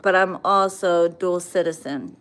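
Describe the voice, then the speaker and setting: Blunt, profane American woman, slow talker. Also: on the low side. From United States. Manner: blunt and profane, answers comments directly. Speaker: livestream host reading viewer comments. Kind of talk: casual livestream chat.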